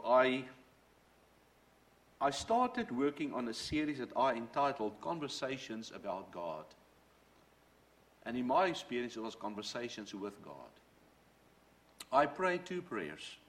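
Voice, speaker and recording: A man's voice: medium pitch, sing-song, unhurried, long pauses, fairly bright tone. A middle-aged man, a native Dutch speaker, preaching a sermon and telling his own faith story in an earnest, storytelling way. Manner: earnest, storytelling, quotes from books. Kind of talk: sermon with personal testimony.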